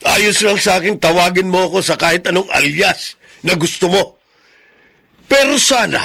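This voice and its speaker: A worn out old dad for radio drama, creaky voice, pleading and emotional. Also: male voice, high-pitched, bright, forceful tone, full character voice.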